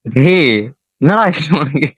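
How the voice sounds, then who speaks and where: A man's voice: fairly high pitch, lively intonation, measured pace, breathy edge, dry recording. A man chatting casually in slang on a phone call, questioning the other person in an exasperated way. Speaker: prank call recipient.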